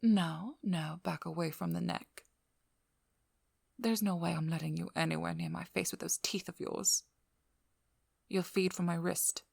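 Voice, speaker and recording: A woman playing a stern, wry, protective vampire hunter in an intimate ASMR role-play, speaking in a measured, firm voice that often drops to a whisper. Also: fairly low pitch, steady tone, gravelly, darkish tone, breathy edge, dry recording, studio quality, full character voice. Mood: guarded, teasing. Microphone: close microphone.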